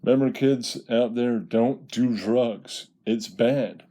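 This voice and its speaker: A man's voice: medium pitch, fairly even intonation, slow, dark tone. A man voicing a laid-back, crude male stoner puppet, drawling mock-preachy lines in a comedy puppet show.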